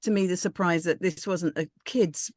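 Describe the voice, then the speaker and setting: A woman's voice: fairly low pitch, fairly even intonation, normal speed, darkish tone, dry recording, clean studio sound. A woman chatting in a rambling, tentative but enthusiastic way about a script in a group discussion. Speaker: script reading panellist.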